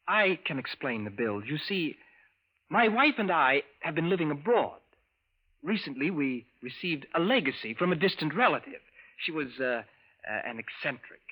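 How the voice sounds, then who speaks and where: A man's voice: on the high side, big pitch swings, normal speed, pushed and bright, heavily acted. An actor playing a polite, secretive male visitor from the future, speaking carefully and formally in 1940s radio style. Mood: careful, evasive. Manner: careful, formal, precise, few contractions. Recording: narrow, muffled vintage radio recording.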